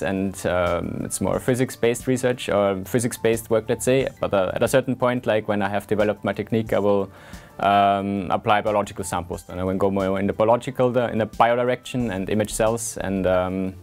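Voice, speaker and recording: A man's voice: lowish voice, fairly even intonation, normal speed, a little mellow. A young man in his twenties from Austria, speaking English, talking earnestly with many fillers as a PhD student in an interview. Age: 20s. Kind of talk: scientist portrait interview.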